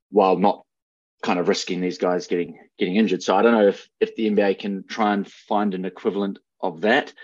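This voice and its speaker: Low-pitched New Zealand man, dull dry mic. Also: dry recording. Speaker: sports podcast panelist. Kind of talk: casual sports chat.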